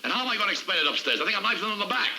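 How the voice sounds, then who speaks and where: American office boss, crime drama, lowish pitch, hurried pace, sharp edge, harried, placating, weary. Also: male voice, very animated, a little hiss or hum, heavily acted. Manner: brisk, weary, appeasing. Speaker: actor playing a senior prosecutor.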